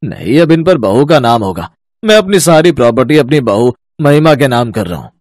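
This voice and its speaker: A man's voice: medium pitch, sing-song, moderate pace, a little mellow, dry recording, natural voice. A man voicing an anxious middle-aged father-in-law in a cartoon, earnest and pleading. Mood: firm.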